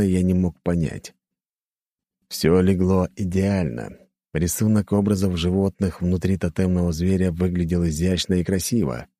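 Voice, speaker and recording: A man's voice: sits low, dark tone, no room sound, clean studio sound. A man narrating first-person fiction as an audiobook reader, in a scripted storytelling style.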